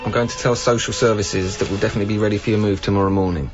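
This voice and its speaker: A man's voice: lowish voice, steady tone, racing, over music, natural voice. An actor playing a patient, practical younger man in a radio drama, speaking naturally and persuasively. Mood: practical, coaxing. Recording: dull, band-limited radio broadcast recording.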